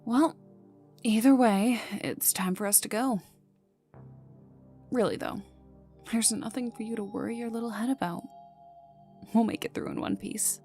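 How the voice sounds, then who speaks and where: Woman acting cocky young villainess, creaky and intimate. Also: fairly high pitch, fairly even intonation, unhurried, long pauses, darkish tone. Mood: teasing reassurance. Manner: teasing, smirking, casual drawl lapsing into whispers. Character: young female villain enforcer, cocky, teasing, gruffly protective. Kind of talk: intimate ASMR audio roleplay to the listener. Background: ambient music.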